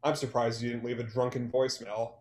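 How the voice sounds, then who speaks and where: A man's voice: mid-range voice, flat delivery, fairly quick, a little mellow, pitched below the performer's own voice, lightly acted. Young man acting a curt, bitter performer, echoey room. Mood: sarcastic, impatient. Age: young adult. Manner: curt, sarcastic. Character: bitter, sarcastic male music performer, impatient with an ex. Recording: echoey room on video call.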